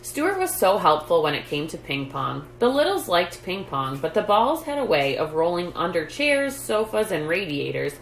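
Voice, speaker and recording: A woman with a lowish voice reads a children's story aloud, deliberately and with emphasis. Her pitch rises and falls in a lively way. The room is echoey. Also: vocal fry. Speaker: storytime host reading a children's book. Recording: roomy echo, background noise.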